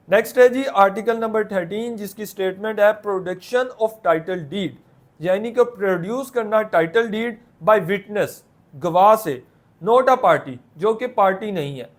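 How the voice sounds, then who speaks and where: Male lecturer, Pakistani accent, notably high voice; didactic, step-by-step explainer who restates each point. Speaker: law lecturer. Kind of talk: explanatory lecture, Urdu mixed with English legal terms.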